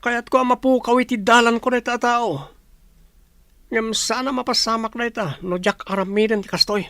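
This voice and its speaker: A man's voice: very high voice, steady tone, moderate pace, sharp tone, no room sound, exaggerated voice. A man acting an insistent, scheming young man in an audio drama, with an Ilocano accent. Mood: agitated, insistent.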